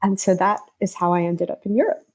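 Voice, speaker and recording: Give me a thoughtful American woman guest, mid-pitched, talking over a call. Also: steady tone, fairly quick, darkish tone. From United States. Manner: thoughtful, with restarts. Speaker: travel journalist guest. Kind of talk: conversational interview.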